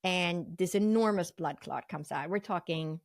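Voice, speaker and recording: A woman's voice: on the low side, unhurried. An older woman from Sweden, a guest telling her story in a vivid, earnest way with many fillers. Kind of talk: storytelling interview talk.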